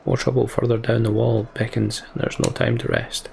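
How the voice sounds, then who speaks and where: A man's voice: mid-range voice, wildly swooping pitch, moderate pace, gravelly, darkish tone, a little hiss or hum. A man reading story text from a game aloud and adding casual commentary as he plays. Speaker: let's player.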